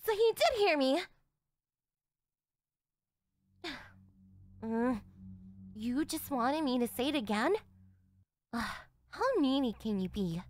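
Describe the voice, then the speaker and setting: A woman voicing a shy, flustered tsundere young girlfriend in an anime-style ASMR roleplay, defensive and stammering, with a whiny edge. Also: fairly high pitch. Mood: embarrassed tsundere.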